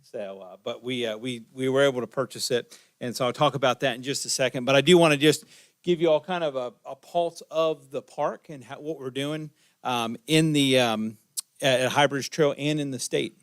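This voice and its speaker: A man's voice: medium pitch, moderate pace, no room sound, clean studio sound. A man who manages a state park gives an informal update to a county board, with many ums and uhs. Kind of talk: public presentation at a county board meeting. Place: county board meeting room.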